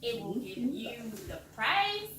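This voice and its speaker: A woman co-host giving workplace etiquette tips in a casual chat, measured and hesitant with frequent ums. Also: fairly high pitch, big pitch swings, crowd noise. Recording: very echoey room, distant mic, clear hiss.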